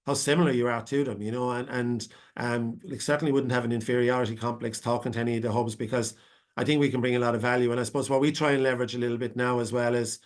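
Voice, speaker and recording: A man's voice: mid-range voice, level tone, fast. An Irish man giving a long unscripted answer as a panelist on a video call, with many fillers and restarts. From Ireland. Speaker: webinar panelist.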